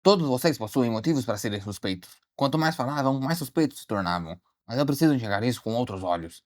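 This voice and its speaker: A man playing an earnest, easily startled detective in an animated mystery short, theatrical as he deliberates aloud. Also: medium pitch, lightly acted. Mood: grave, deducing. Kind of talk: dramatic monologue, animated short voice acting.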